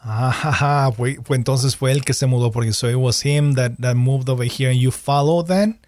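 A man's voice: medium pitch, measured pace, a little mellow, dry recording. A man hosting an interview, reading a scripted introduction and then asking questions in two languages in turn. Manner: switches between Spanish and English, repeats questions in both. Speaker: host. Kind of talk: bilingual radio interview.

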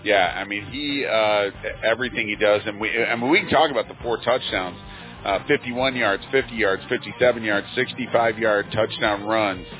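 Brief: man, 50s, radio sports announcer, lowish voice, thin phone-line sound, faint music behind. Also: moderate pace. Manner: relaxed, chatty, with frequent fillers. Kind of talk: high school football radio commentary.

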